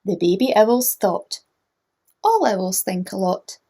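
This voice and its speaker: A woman narrating a children's storybook in a gentle manner, inviting young listeners to join in. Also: medium pitch, very animated, slow, slightly rough.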